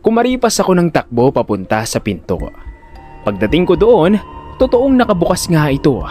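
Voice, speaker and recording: Man acting an animated, anxious protective older brother. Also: moderate pace, faint music behind. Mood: tense. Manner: dramatic storytelling, exasperated and high-pitched in dialogue. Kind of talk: first-person audio drama narration with acted dialogue.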